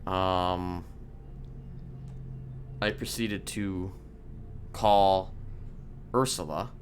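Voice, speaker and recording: A man in a game roleplay voicing a calm, sinister criminal manipulator who speaks haltingly and deliberately in formal words. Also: low voice, a little hiss or hum. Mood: measured, confessional. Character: soft-spoken sinister male criminal manipulator, calmly confessing. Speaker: roleplay streamer. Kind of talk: improvised in-character game roleplay.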